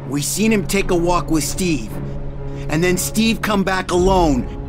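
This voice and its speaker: Resentful dockworker, high voice, accusing, working-class, clear music behind, crime game. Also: male voice, animated, a little slow. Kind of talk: video game voice acting.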